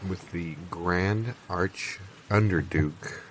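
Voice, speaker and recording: Man playing a deep-voiced folksy old-timer, deadpan. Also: drawn-out words, a little hiss or hum. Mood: rambling, earnest. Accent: American. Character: folksy rambling old-timer reminiscing absurdly. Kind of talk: improvised deadpan audio comedy.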